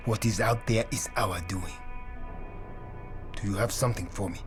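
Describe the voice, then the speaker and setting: An actor playing a coldly demanding cult enforcer who threatens slowly and deliberately in a dramatic video game scene. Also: male voice, on the low side, over music, lightly acted. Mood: cold impatience.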